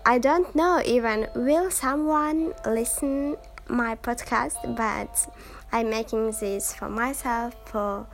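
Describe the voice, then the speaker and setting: Slow-talking young Kazakh woman podcaster. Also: fairly high pitch, faint music behind. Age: 20s. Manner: halting, searching for words with many fillers. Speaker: podcast host. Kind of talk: casual solo podcast.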